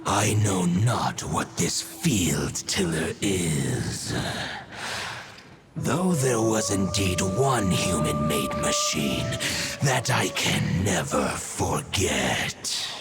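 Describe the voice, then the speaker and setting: A man's voice: low-pitched, very animated, drawn-out words, loud music behind the voice, exaggerated voice. A man voicing an ancient, proud wolf god in a video game, speaking in a stately, archaic and formal manner. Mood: solemn, proud. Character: ancient proud male wolf god spirit, solemn and weary. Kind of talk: dramatic video game voice acting.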